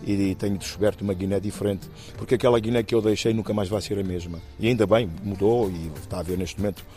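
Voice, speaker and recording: A man in his fifties from Guinea-Bissau, talking about his life in an interview, thoughtful and halting, with many restarts. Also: lowish voice, fairly even intonation, warm tone, soft background music. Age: 50s. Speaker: interviewee, actor and musician. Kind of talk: reflective personal interview.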